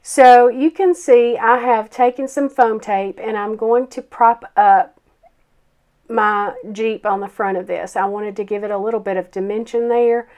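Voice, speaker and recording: A woman's voice: a little high, steady tone, measured pace, a little mellow. Froggy-voiced grandmother crafter, chatty. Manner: chatty, self-deprecating, many ums. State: hoarse from a summer cold. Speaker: card-making crafter. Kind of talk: casual craft tutorial voice-over.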